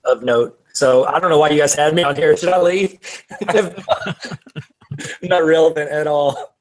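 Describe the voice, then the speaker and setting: Higher-voiced man in jokey casual chat. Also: moderate pace. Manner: laughs often while talking, self-deprecating. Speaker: podcast co-host.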